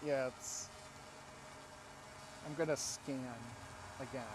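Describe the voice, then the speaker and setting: A man's voice: medium pitch, steady tone, slow pace. A man working as a radio operator outdoors, talking casually in short, matter-of-fact remarks. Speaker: radio operator assisting the presenter. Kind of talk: casual chat while working. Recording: noisy outdoor recording.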